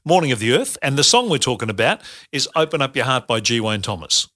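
A man's voice: mid-range voice, sing-song, fast talker, bright, forceful tone, no room sound, studio quality. A man co-hosting a radio show, chatting and introducing the next segment. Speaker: radio co-host. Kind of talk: radio talk.

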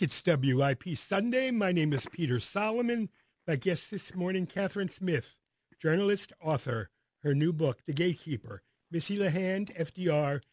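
A man's voice: a little high, darkish tone, dry recording. A man hosting a Sunday morning radio talk show, introducing his guest with upbeat, announcer-like station breaks. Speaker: radio host. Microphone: studio microphone.

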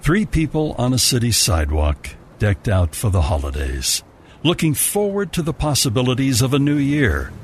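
A man's voice: lowish voice, slight background noise. A man narrating a scripted holiday story as a storyteller. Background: music.

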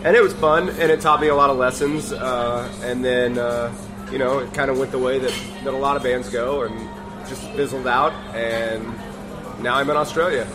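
A man's voice: mid-range voice, steady tone, normal speed. Casual forty-year-old man, music underneath. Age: 40s. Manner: loose and profane, many fillers, drifts quieter as he goes. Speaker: band's drummer, interview guest. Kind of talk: casual storytelling chat.

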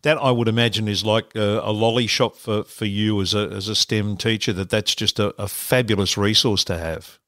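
A man's voice: fairly low pitch, fairly even intonation, moderate pace, a bit bright, no echo. A man hosting a radio interview, asking questions conversationally and rephrasing them as he goes. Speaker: radio host.

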